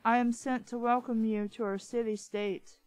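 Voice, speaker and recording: A woman reading the lines of a sly, pompous little round man in a storybook, with a high, musical voice. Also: steady tone, normal speed, darkish tone, dry recording, faint music behind, close to an everyday voice. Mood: smug.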